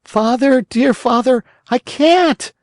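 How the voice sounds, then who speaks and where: A high, whining puppet boy, weepy storybook role. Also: a little slow, a little mellow, no room sound, clean studio sound. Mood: despairing. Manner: high-pitched, whining, sobbing pleas. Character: wooden boy puppet, young, tearful, wailing.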